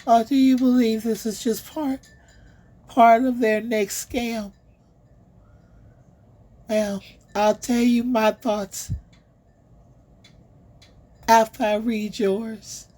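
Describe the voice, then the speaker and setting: A woman vlog host with a hoarse, failing voice, speaking affectionately and in a drawn-out way to her viewers. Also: on the high side, level tone, mellow. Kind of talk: slow affectionate address to viewers.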